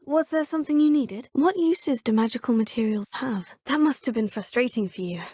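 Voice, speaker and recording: A woman's voice: a little high, fairly quick, dark tone, breathy edge, no room sound. A young woman with a British accent voicing a polite, curious young witch student in a video game, speaking in a composed, slightly formal way. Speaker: player character in a video game. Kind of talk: game dialogue lines. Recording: dry studio recording.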